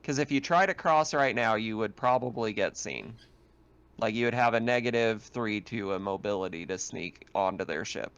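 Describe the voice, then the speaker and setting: A man's voice: lowish voice, normal speed, fairly bright tone, smooth, lo-fi sound. A man narrating a tabletop roleplaying game as game master, explaining scenes in a conversational way.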